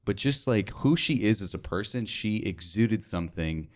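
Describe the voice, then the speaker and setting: A man's voice: fairly low pitch, lively intonation, moderate pace. A young American man from North Dakota talking informally and earnestly as an interview guest, thinking aloud with plenty of "like". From North Dakota, United States. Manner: informal, reflective, earnest, with frequent "like". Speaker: interview guest, radio sales associate. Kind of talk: casual conversational interview.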